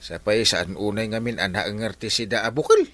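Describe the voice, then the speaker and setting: A man's voice: lowish voice, brisk, a bit bright, dry recording, natural voice. A man playing a lazy village captain in an Ilocano comedy, whining and stammering excuses with an Ilocano accent. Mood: whining, evasive. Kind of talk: broad comedy drama acting.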